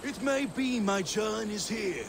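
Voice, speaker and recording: A battle-worn Viking warrior-poet in a video game, reciting his past in grand, poetic phrases. The voice is high and creaky, with clear water and boat noise around it. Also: lively intonation, measured pace, full character voice. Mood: earnest, wistful. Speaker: storyteller. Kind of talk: dramatic video game monologue. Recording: water and boat ambience behind.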